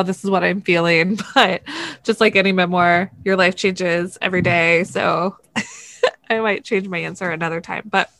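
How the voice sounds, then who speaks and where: A woman's voice: medium pitch, a bit bright, no echo. A woman co-hosting a podcast and talking in a casual, conversational style. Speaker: podcast co-host. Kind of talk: casual chat.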